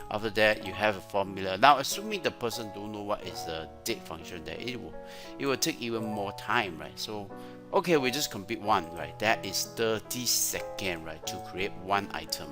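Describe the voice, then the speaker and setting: A man's voice: lowish voice, expressive, moderate pace. A man with a Malaysian accent giving a screen-share tutorial as a trainer, often tagging his sentences with "right?". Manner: frequent "right?" tag questions. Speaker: Excel trainer. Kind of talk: screen-share software tutorial with sales pitch. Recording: music playing behind the voice. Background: clear background music.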